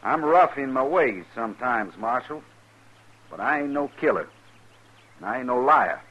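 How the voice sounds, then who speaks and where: A proud cowboy in a 1950s radio western. He is rough but earnest and plain-spoken, with a sharp, forceful voice. The old recording sounds dull. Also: mid-range voice, lively intonation, normal speed.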